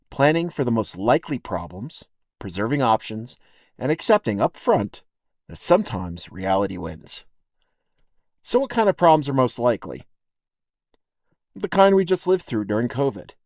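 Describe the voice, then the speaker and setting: Wry man narrating with lively, varied intonation. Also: mid-range voice, no room sound, clean studio sound. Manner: wry, plain-spoken, colloquial. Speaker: podcast writer and host. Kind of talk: scripted audio essay narration.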